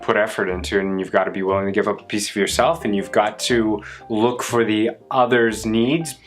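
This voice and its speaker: A man's voice: lowish voice, steady tone, a little fast. A man talking casually and earnestly as a guest on his partner's video channel. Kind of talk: casual chat.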